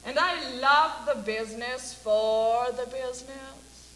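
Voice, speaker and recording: A woman playing a brash female Hollywood agent in a dramatic stage monologue, speaking deliberately with long pauses. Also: high voice, a bit bright, slight background noise, poor audio. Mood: breezy, gleeful. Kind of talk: theatrical dramatic monologue for speech competition.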